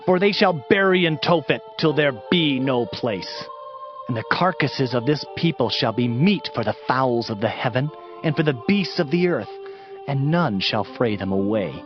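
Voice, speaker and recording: A man's voice: medium pitch, animated, moderate pace. A man narrating a dramatized Bible reading in a solemn, emphatic, declamatory manner. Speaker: scripture narrator. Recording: slightly muffled, music underneath.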